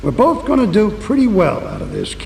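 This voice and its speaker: A man's voice: sits high, wildly swooping pitch, fairly quick, creaky, a little mellow, heavily acted. A man playing a crooked, bitter, agitated police detective in a video game cutscene, talking in conspiratorial slang. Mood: sly, conspiratorial. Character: crooked, jumpy, bitter police detective giving dirty jobs. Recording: roomy echoing hall, distant, faint noise.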